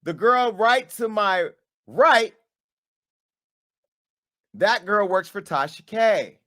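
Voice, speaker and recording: A man hosting his own show, delivering a dramatic callout monologue with sass, swinging between hushed asides and loud, punchy bursts. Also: high voice, expressive, takes it slowly. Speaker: media company owner and host.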